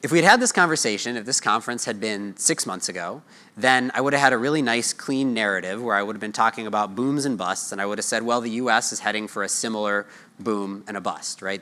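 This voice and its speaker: A man's voice: lowish voice, sharp tone. An American man in his thirties giving a conference keynote in a conversational way, posing and answering his own questions, on a lapel mic. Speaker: market research analyst giving a keynote. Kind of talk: conference presentation. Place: large hotel ballroom conference stage.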